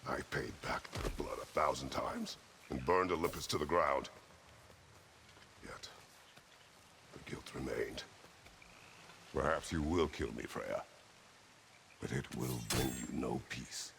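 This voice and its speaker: A man playing a grim, middle-aged warrior father in a video game, terse, solemn and measured, with a very deep gravelly voice. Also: fairly bright tone, slight background noise, full character voice. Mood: grave, resigned. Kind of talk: dramatic video game acting.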